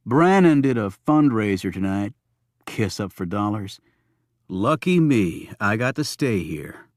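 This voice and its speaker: A man's voice: fairly low pitch, very animated, measured pace, no echo, clean studio sound. A man playing a wisecracking, weary Secret Service agent in an audiobook, joking in a colloquial way. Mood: wry complaining.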